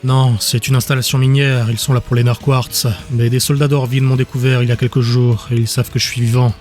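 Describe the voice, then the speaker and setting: A man's voice: medium pitch, little pitch movement, fairly quick, darkish tone, faint music behind, natural voice. A man voicing a weary, guilt-ridden husband resolved to fight, in an audiobook drama. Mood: grave. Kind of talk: audiobook character dialogue.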